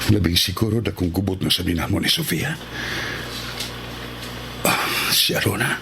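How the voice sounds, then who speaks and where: A man's voice: sits low, normal speed, creaky. An actor with a Visayan Filipino accent playing an anxious man muttering worriedly in a radio drama. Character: anxious man dreading a brewing scandal. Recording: noisy radio drama recording.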